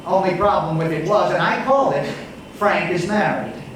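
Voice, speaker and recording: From far off in a very echoey room with some hiss, an actor plays a dramatic man in a radio play. His voice sits a little high, projected as on a live stage. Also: animated, moderate pace. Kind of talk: live audio drama acting. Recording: roomy, distant stage recording with hiss.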